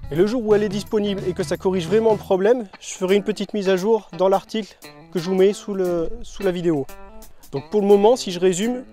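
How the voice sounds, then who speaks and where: A man's voice: sits high, steady tone, fast talker, dark tone, faint music behind. A man giving an informal, conversational product review as a motorcycle gear reviewer. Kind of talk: casual product review narration and riding chat.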